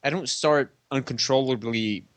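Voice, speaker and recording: A man from Colombia whose first language is Spanish, co-hosting a podcast with animated, stammering talk. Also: medium pitch, moderate pace, no echo. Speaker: podcast co-host. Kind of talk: casual podcast chat.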